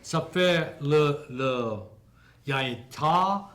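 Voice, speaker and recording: A man with a Louisiana Cajun French accent hosting an interview, halting and full of fillers. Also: fairly high pitch, fairly bright tone. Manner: halting, many fillers and restarts. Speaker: host. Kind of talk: broadcast interview.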